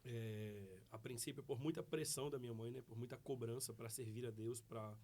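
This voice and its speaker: A man's voice: mid-range voice, fairly even intonation, a little mellow. A man, a young preacher giving his testimony as a podcast guest, earnest and grateful. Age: 20s. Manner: grateful, earnest, fast-talking. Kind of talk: personal testimony in conversation.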